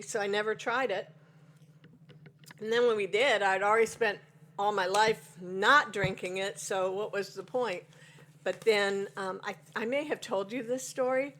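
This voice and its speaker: A woman in her sixties, chatting to viewers over coffee and rambling through a personal story with folksy exclamations. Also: medium pitch. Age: 60s. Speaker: devotional vlogger.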